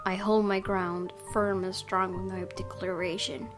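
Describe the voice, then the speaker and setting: A woman's voice: medium pitch, flat delivery, measured pace. A woman gaming host, reading a visual novel aloud expressively and adding her own casual commentary. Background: game music.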